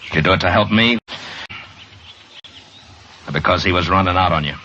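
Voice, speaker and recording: An actor playing a stern, steady American frontier lawman in an old radio western, speaking in a measured, grave, commanding way. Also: deep voice. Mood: grave, questioning. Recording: muffled, hissy old radio recording.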